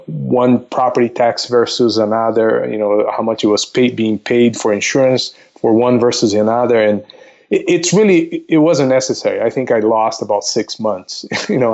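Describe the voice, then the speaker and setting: A man speaking as a podcast guest and counselor, explaining casually and haltingly as he gives earnest advice. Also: medium pitch, creaky, a little mellow. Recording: dull, lo-fi remote audio.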